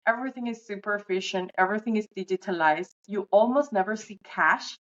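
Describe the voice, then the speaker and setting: A woman's voice: mid-range voice, fairly quick, fairly bright tone, dull audio. A woman in her thirties from Venezuela co-hosting a money podcast, talking in a friendly, explanatory way with some fillers. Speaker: podcast co-host. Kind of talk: casual podcast chat.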